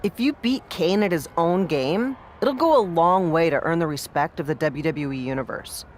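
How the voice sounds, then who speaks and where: An actor playing an encouraging but scheming wrestling adviser in a scripted video game cutscene. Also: male voice, on the high side, expressive, moderate pace, a little hiss or hum, close to an everyday voice. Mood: earnest.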